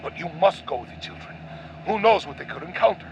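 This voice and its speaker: A man's voice: lively intonation, fast. A man playing a brave, devoted father and pilot in a radio play, earnest, dramatic and tender. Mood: tender, resolute. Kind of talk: audio drama acting. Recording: muffled, faint vehicle rumble.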